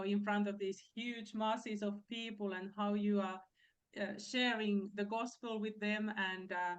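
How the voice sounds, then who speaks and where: Woman hosting an interview, medium pitch. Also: poor audio. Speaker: interview host. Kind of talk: conversational interviewing.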